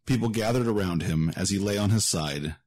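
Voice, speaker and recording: A man's voice: lowish voice, fairly quick, darkish tone. A man narrating a scripted fantasy story as the narrator of an audio drama. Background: music.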